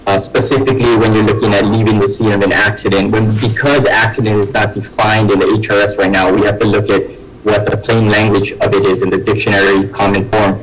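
A man gives formal legal testimony over a video call from an office. His voice is low and flat, and he explains hesitantly with many fillers. Faint music sits in the background. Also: fairly quick, poor audio. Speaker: deputy prosecuting attorney testifying.

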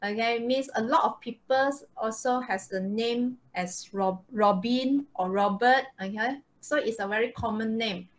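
A woman's voice: fairly high pitch, measured pace, hardly any pauses. A woman with a Malaysian accent teaching a live online English lesson, informal and often checking with short tag questions. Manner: informal, checks with "okay" and "right?". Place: online video call, presenting slides.

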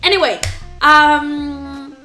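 Animated woman in her thirties, high voice. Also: reverberant, faint music behind. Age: 30s. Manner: animated, gestures a lot, laughs often, halting restarts. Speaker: reaction video host. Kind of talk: casual storytelling to camera. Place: small indoor room at home, plants behind.

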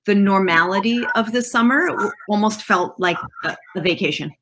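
Bright-voiced Swiss-raised woman guest. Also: mid-range voice, normal speed, slight background noise. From Switzerland. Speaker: guest, digital art consultant. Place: home office with bookshelves. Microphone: video call.

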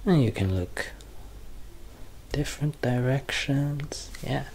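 A soft-spoken young man in an ASMR roleplay as a quirky, deadpan doctor, hesitant and halting, sometimes drifting toward a whisper. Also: mid-range voice, slight background noise. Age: young adult. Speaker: ASMR performer playing a bumbling doctor. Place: small curtained room at home, indoors.

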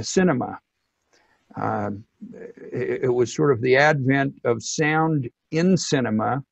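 A middle-aged man explaining things informally as an interview guest, in a halting, hesitant way with many pauses. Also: mid-range voice, creaky, husky, no echo, highs cut off. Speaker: loudspeaker company technical director, interview guest.

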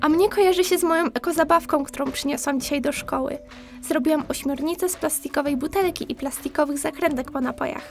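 A woman's voice: high-pitched, fast talker, darkish tone, soft background music. A woman voicing a proud, boastful little animal schoolgirl in a children's audio play.

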